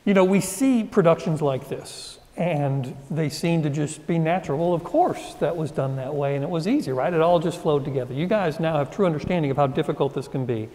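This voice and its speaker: A man's voice: fairly high pitch, a little fast, few pauses, dark tone. Upbeat man moderating a studio panel. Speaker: instructor and TV anchor hosting a panel. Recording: long studio reverb.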